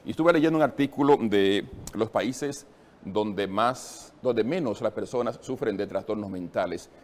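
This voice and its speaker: Low-voiced male host. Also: a little slow, a little mellow. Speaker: interview show host. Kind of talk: talk show interview.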